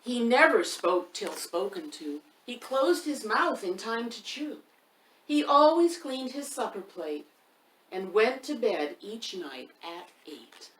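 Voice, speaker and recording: A woman's voice: a little high, expressive, fairly bright tone. Woman hosting children's storytime, distant roomy sound. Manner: unhurried, addressing young listeners directly with questions. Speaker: children's author and storytime host. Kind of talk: casual storytime chat and expressive picture-book read-aloud for children. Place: indoors by a fireplace, roomy sound. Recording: roomy, distant microphone with faint background noise.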